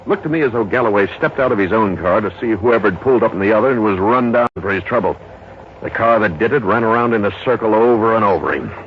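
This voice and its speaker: An American man playing a no-nonsense, skeptical police sergeant in a 1950s radio drama, brisk and matter-of-fact. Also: fairly low pitch, natural voice. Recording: muffled, noisy 1950s radio recording.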